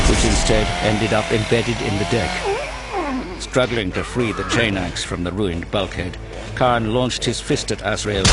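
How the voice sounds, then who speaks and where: A man narrating a war story in a grave, deliberate, dramatic scripted style. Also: lowish voice. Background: prominent dramatic music.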